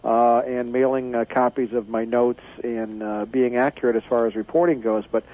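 A man speaks as a radio guest over a tinny phone line, confident and rambling, with plenty of fillers. His pitch sits in the middle range. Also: fairly even intonation, normal speed. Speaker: guest, newsletter editor. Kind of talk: radio interview.

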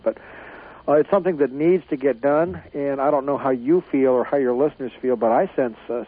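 A man speaks as a radio guest over a tinny phone line, confident and rambling, with plenty of fillers. His pitch sits in the middle range. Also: slightly rough. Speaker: guest, newsletter editor. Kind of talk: radio interview.

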